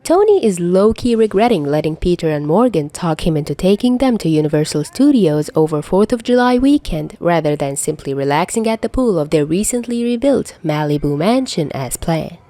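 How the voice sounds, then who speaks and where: A woman narrates a story in a mellow, fairly low voice. Her intonation is lively and varied, and the recording is very dry, like an audiobook. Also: normal speed, hardly any pauses, dry recording. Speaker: podfic narrator.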